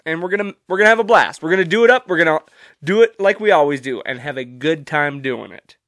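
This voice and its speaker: A man's voice: fairly high pitch, lively intonation, fast, fairly bright tone, no echo, clean studio sound. A young man hosting a solo podcast, stuffy and congested from allergies, talking casually and rambling with loose, profane humor. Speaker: podcast host.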